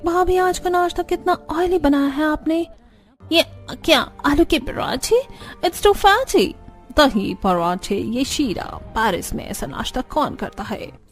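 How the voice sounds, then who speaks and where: A woman's voice: sits high, animated, slow, soft background music, exaggerated voice. A woman voicing a haughty, disapproving city in-law in a cartoon. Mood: complaining. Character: haughty, disapproving city women of the in-laws' household.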